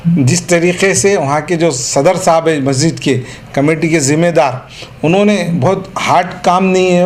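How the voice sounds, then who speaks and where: A man's voice: fairly high pitch, a little mellow, a little hiss or hum. A man making an informal, rambling appeal to the public as a local party representative.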